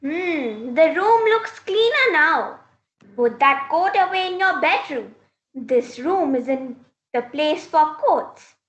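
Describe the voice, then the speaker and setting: High-voiced, firm mother played by a woman, echoey room. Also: expressive, takes it slowly, fairly bright tone, lo-fi sound, full character voice. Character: strict, advising mother of a talkative young girl. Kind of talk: classroom reader's theater dialogue. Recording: roomy, echoing room sound.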